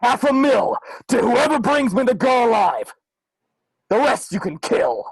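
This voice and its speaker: A man acts out a grandiose, menacing gangster boss in a table reading. The voice is gravelly and husky, pitched high as it declaims. Each phrase is slow and theatrical. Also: animated, no echo, full character voice, low-quality recording. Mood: commanding, menacing. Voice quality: gravelly, husky shouted delivery. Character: menacing, grandiose adult male crime boss rallying goons. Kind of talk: amateur table reading of a film script. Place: home, on a group video call.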